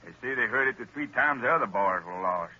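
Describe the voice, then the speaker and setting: A man's voice: mid-range voice, lively intonation, quick pace, bright, forceful tone, lo-fi sound. A man playing a folksy, plain-spoken rural sheriff on an old radio broadcast.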